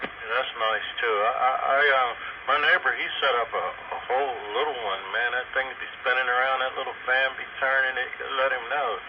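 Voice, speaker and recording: Man, mid-range voice, folksy casual radio chatter, muffled transmission, clear static noise. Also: medium pitch, fairly even intonation, fairly quick. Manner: folksy, colloquial, repeats himself. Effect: two-way radio. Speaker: amateur radio operator.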